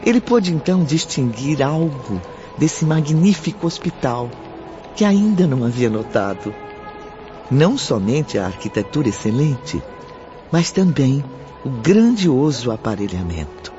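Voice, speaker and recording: A man acting as the solemn storyteller of a radio serial, measured and carefully enunciated. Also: mid-range voice, sing-song. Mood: solemn, admiring. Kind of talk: scripted radio drama narration. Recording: muffled audio with music behind.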